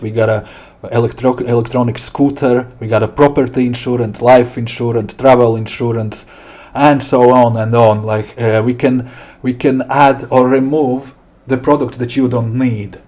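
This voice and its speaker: A middle-aged man from Latvia with a Latvian accent and non-native English, giving an informal product walkthrough with deliberate, hesitant phrasing. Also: mid-range voice, fairly even intonation, darkish tone. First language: not English. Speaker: software developer presenting his product. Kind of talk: informal screen demo walkthrough.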